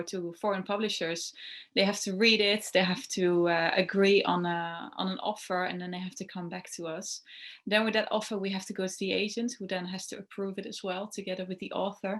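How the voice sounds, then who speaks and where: A young woman whose first language is Dutch talks through her job in an interview. Her voice sits in a middle range, and she hesitates often, filling gaps with "uh" and repeating words before finishing her thought. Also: medium pitch. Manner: hesitant, many "uh" fillers and restarts. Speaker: translation rights executive. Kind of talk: interview answers.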